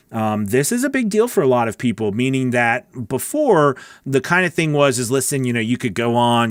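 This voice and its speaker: A middle-aged American man with a mid-pitched voice talks casually as a livestream host. He is chatty and informal, thinking aloud while he reads and answers questions. The sound is close and dry, from a studio microphone in a small home studio. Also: no room sound. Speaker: host, voice-over coach. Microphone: large studio microphone on a boom arm, close.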